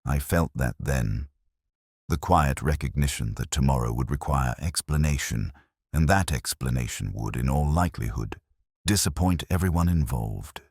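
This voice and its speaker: A man playing a weary, melancholy older police detective, narrating an audio drama in a measured, reflective, literary way. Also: extremely low pitch, lively intonation, darkish tone, breathy edge, dry recording, studio quality, exaggerated voice. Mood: brooding, reflective.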